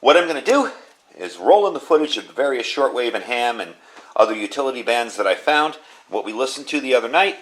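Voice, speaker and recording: A man's voice: mid-range voice, big pitch swings, a little fast, a bit bright, low-quality recording. A man giving a casual, plain-spoken product review in a small room. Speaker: host reviewing gadgets. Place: small indoor room at a tabletop.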